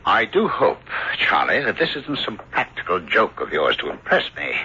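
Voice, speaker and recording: An actor playing a rational, skeptical country doctor who reasons firmly and narrates in old-time radio drama style. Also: male voice, fairly low pitch, huge pitch swings, normal speed, pushed and bright, full character voice. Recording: muffled, noisy old radio drama recording.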